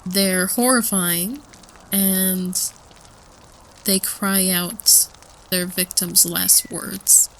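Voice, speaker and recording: Bright, unhurried actress as a young female student. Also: on the low side, fairly even intonation, full character voice, low-quality recording. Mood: spooked enthusiasm. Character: female student sharing eerie monster lore by campfire. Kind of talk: conversational audio roleplay. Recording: faint ambient noise.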